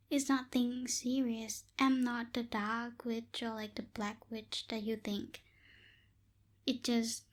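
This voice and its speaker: Woman acting as aloof tsundere witch, high soft voice, very slow, ASMR roleplay. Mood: mildly exasperated. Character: aloof tsundere night witch, lazy, businesslike young woman. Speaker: voice actor in audio roleplay.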